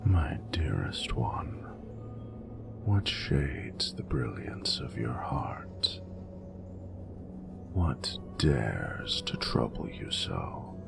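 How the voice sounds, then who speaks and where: An extremely deep, crackling dragon, ancient and courtly, murmurs to a beloved in a romantic audio roleplay. The delivery is very slow and poetic, sliding often into breathy near-whispers, with music underneath. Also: male voice, sing-song, exaggerated voice. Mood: concerned, gentle. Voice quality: very deep, gravelly, breathy rumble. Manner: slow, intimate, formal and poetic. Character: ancient, tender, courtly male dragon lover.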